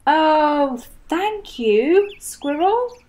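A woman's voice: high-pitched, lively intonation, drawn-out words, darkish tone, voice pushed up, heavily acted. A woman voicing an eager, insistent young male rabbit in a children's storybook, with emphatic exclamations. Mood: delighted.

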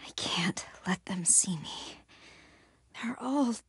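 Wary young woman, game heroine talking to herself: breathy, unhurried, sardonic. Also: medium pitch, expressive, no room sound, whispered, clean studio sound, full character voice. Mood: hushed wonder. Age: young adult. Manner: muttering to herself, hushed, breathy. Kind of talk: video game voice acting.

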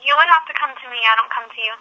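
A woman talks casually over a telephone. Her voice is fairly high and extremely sharp and bright. She is blunt and businesslike in how she answers. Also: racing. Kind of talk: casual phone conversation. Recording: phone call audio.

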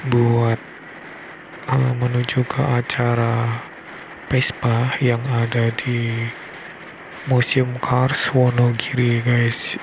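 A young man narrates his ride in a casual, chatty way, with a gravelly, flat-toned voice. He speaks into a helmet-mounted mic. Steady wind and road noise surround him. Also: medium pitch. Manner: chatty, keeps tagging "guys", hesitant fillers. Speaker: motovlog host. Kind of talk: casual vlog narration while riding. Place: on a scooter on a rural road outdoors.

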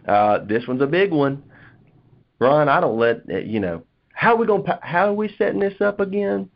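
A man's voice: a little high, big pitch swings, moderate pace, vocal fry. A man talking casually and conversationally as a co-host of a sales coaching conference call. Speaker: co-host playing a customer in sales role-play. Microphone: conference call line. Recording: dull, lo-fi conference call audio.

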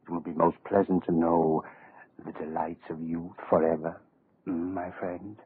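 An actor playing a suave, power-hungry schemer in a 1930s radio horror drama, speaking with pompous, quietly menacing oratory. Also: male voice, low-pitched, level tone, moderate pace, breathy edge. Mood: smug menace. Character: suave, power-hungry male dictator-in-waiting, grandiose schemer. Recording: muffled old 1930s radio recording.

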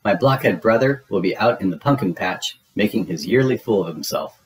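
A man's voice: lowish voice, animated, a little fast, lightly acted, poor audio. A man voicing a bossy, scornful little girl in a storybook, with emphatic scolding.

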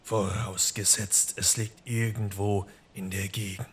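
A man voicing a mysterious goat-man of the woods in an audio horror story, gravelly and husky, cryptic and dry-witted. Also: lowish voice, little pitch movement, pitched below the performer's own voice. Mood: dryly wry. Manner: slow, cryptic, deliberate.

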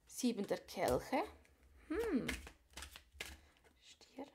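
Woman tarot reader with a cold, gravelly and a bit high, casual and musing, drops into whispers. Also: huge pitch swings, very slow, darkish tone, a little hiss or hum. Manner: musing, thinks aloud, frequent whispered asides. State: has a cold. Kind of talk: casual tarot reading to viewers. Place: small room at a card table.

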